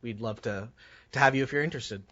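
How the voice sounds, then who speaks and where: A man from the audience making a loud, direct job announcement at a meetup. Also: medium pitch, fast. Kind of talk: brief recruiting pitch. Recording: dull lo-fi audio.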